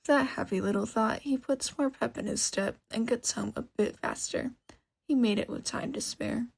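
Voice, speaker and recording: A woman reading a story aloud as expressive scripted narration. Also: fairly high pitch, normal speed, creaky, breathy edge, poor audio. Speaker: narrator, podfic reader.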